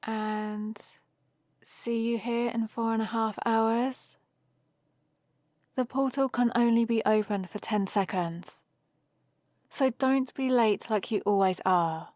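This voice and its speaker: A woman's voice: a little high, takes it slowly, long pauses, dry recording, studio quality. A woman playing a playful, teasing young heroine adventurer who chats and rambles fondly in a one-sided ASMR roleplay. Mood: casual, fond. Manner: chatty, rambling, gently teasing.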